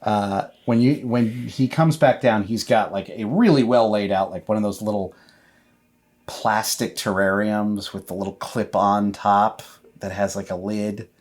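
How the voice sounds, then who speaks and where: A man narrating a tabletop game as storyteller, improvising in a halting, conversational way. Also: on the low side, steady tone, darkish tone.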